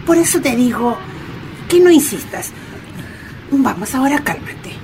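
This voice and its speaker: Woman playing a slow, patronizing older woman. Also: high-pitched, very animated, darkish tone, a little hiss or hum, exaggerated voice. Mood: soothing. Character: older woman coworker, falsely soothing, guilty, easily indignant. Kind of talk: radio drama acting.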